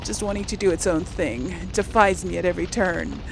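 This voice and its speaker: A woman's voice: lowish voice, fairly quick, gravelly, music in the background, rough recording. A woman hosting a let's play, chatting casually to her viewers in an informal, playful way. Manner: chatty, addresses viewers, giggly asides. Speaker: gaming video host.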